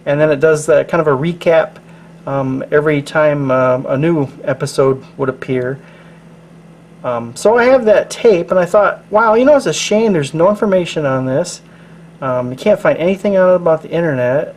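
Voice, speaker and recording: A man hosting his channel, explaining things casually in a halting, low-key, rambling way. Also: darkish tone. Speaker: channel host. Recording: noticeable background noise.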